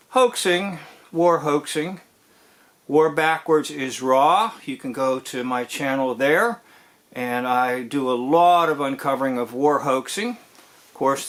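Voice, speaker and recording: A man giving informal commentary on a TV episode as host, in a deliberate, drawn-out way that dwells on key phrases. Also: on the high side, a bit bright.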